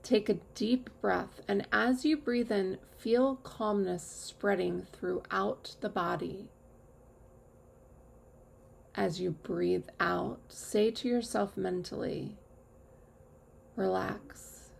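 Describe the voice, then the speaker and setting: A woman teacher leading a guided meditation with calm, measured instructions and long pauses. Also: mid-range voice, vocal fry, slightly rough. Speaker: yoga nidra teacher.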